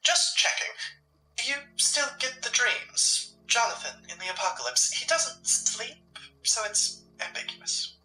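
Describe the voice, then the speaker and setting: Woman acting a curt, bright-voiced occult shopkeeper. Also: fairly high pitch, sing-song, measured pace, exaggerated voice. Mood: guarded. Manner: curt, dry, evasive. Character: blunt, guarded, pragmatic occult shopkeeper with secret plans. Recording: roomy, distant mic with music. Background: music.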